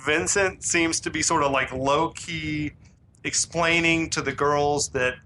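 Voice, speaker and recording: Casual male podcast co-host, bright edge to the voice, laid-back scene-by-scene retelling. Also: fairly high pitch, fairly even intonation, measured pace, slight background noise. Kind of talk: casual movie recap chat.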